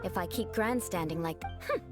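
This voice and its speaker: A woman's voice: fairly low pitch, normal speed, lightly acted. A woman playing a proud, formal young noblewoman knight in a video game, stiff and archaic in her diction and easily flustered. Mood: stiff, vengeful. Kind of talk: anime-style video game voice acting. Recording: loud music under the voice.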